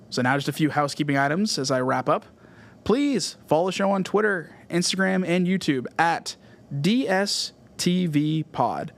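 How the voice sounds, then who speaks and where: A man's voice: fairly high pitch, gravelly. A man from Southern California, hosting a solo music podcast in an earnest, scripted essay style. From Southern California, USA. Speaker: podcast host.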